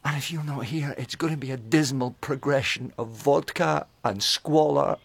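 A man's voice: medium pitch, moderate pace, gravelly, husky, dry recording. An actor playing a remorseful, self-mocking man who pleads with his wife, swinging from wry jokes to anguish in a radio play.

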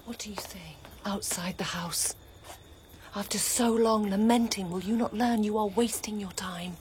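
Actress as a cautious sister, hushed reproachful tone, faint background noise. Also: female voice, fairly high pitch, steady tone, takes it slowly. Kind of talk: classical tragedy stage acting.

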